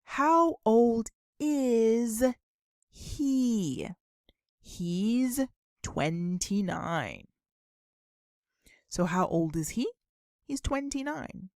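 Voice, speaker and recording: Female language teacher, extremely unhurried delivery, dry close sound, careful enunciation of simple practice sentences for learners. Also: fairly high pitch, expressive, no room sound. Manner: deliberate, instructional, enunciating and repeating phrases. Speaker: English teacher. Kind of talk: beginner English lesson with repeated model questions and answers.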